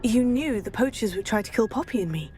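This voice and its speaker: An actress playing an earnest young female student witch who speaks calmly and reassuringly in a video game. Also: fairly high pitch, fairly quick, natural voice.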